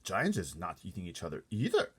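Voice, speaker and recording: Man voicing a big earnest giant, husky and deep. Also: huge pitch swings, a little fast, full character voice. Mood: earnest, indignant. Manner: muddled grammar and made-up words, emphatic. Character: big gentle kindly giant, earnest, folksy and mangled grammar. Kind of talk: storybook character voice.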